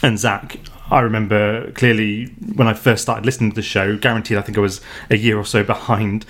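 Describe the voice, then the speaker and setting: A man with a fairly low voice talks casually on a podcast. He pauses often and restarts his sentences. His delivery is easygoing. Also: flat delivery, fairly bright tone. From United Kingdom. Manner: hesitant, many ums and restarts. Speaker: podcast co-host.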